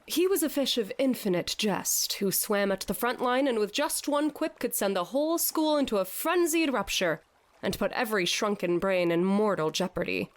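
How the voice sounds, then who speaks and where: A woman's voice: medium pitch, moderate pace, natural voice. An actress playing a dazed, theatrical woman who declaims in a grandiose mock-Shakespearean way in an audio drama. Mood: mock-tragic. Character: dazed theatrical woman raving as Hamlet to a fish head. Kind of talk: scripted audio drama acting.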